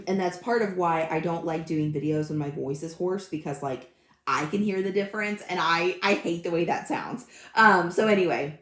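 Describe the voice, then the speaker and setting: A slightly hoarse woman in her thirties chats casually to her livestream viewers from a home craft room, greeting them by name. Her voice sits a bit low, and the room gives it a noticeable echo. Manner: chatty and friendly, greets live commenters by name. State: slightly hoarse, recovering from illness. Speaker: craft channel host.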